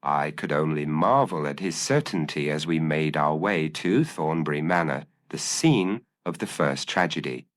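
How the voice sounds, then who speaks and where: A man's voice: rumbling low, expressive, moderate pace, hardly any pauses. A man reading scripted narration of a gothic mystery story.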